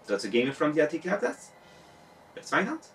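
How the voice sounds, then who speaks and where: French-accented man with lively pitch. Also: fairly quick, a little hiss or hum. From France. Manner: sarcastic, animated delivery. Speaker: YouTube reviewer. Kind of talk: scripted video essay. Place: home room with bookshelves.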